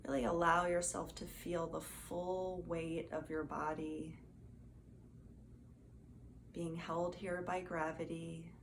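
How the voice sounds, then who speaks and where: A woman leads a guided meditation very slowly, with a soothing, gentle delivery. Her voice sits a little low and has a noticeable creak. There is faint noise behind her. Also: little pitch movement.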